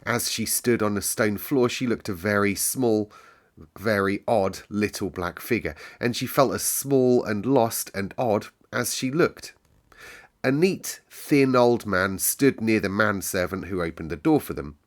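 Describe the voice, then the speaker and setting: A man's voice: fairly low pitch, measured pace. A man hosting a story read-aloud, speaking in a conversational, musing way with listeners. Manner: musing, conversational, thinking out loud. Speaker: storytelling host. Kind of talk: story read-aloud and casual chat with viewers.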